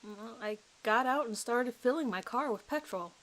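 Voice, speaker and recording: A woman's voice: on the high side, moderate pace, a bit bright, lightly acted, low-quality recording. A nervous suspect in his thirties a man as acted out by a woman gives his account in a dramatized police interview. He is hesitant and apologetic, rambling as he tries to recall details. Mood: anxious recounting.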